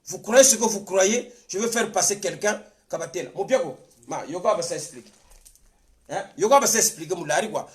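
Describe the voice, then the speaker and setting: A man's voice: high voice, animated, moderate pace. A man with a Congolese accent giving an impassioned political monologue, insistent and repetitive, switching between languages. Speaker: political commentator.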